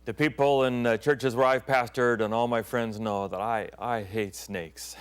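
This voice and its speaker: Hushed, dramatic storytelling pastor. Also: male voice, mid-range voice, steady tone, a little slow, smooth. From Thailand. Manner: dramatic storyteller, dropping to hushed tones and whispers. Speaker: pastor and TV program host. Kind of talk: storytelling sermon.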